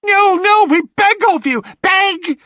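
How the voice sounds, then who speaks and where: A man voicing a frantic, greedy ancient crone, with a shrill, screeching voice pushed far above his own, slow and dull in sound. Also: sharp tone, no room sound, full character voice. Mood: shrieking.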